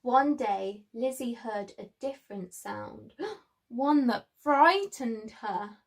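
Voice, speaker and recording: Slow, high-voiced woman preschool storyteller. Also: creaky. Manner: animated emphasis, simple child-directed phrasing. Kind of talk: expressive children's story reading and talk.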